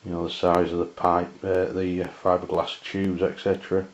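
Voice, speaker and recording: A man with an English accent and a very low voice talks through a piece of kit as a casual reviewer. His delivery is flat, halting and matter-of-fact. The sound is dull and lo-fi. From England. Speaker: amateur radio reviewer. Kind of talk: casual hands-on product review.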